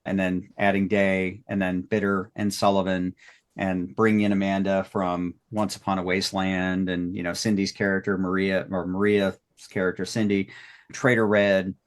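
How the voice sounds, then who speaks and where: A man talking casually and reflectively as a podcast creator looking back, rambling and appreciative of his colleagues. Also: low-pitched. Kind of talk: casual retrospective talk.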